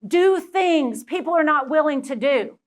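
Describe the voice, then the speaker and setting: High-voiced Texan woman giving a keynote. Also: a little slow. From Texas. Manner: energetic, personal, talks directly to the audience. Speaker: keynote speaker. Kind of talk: motivational presentation to a live audience.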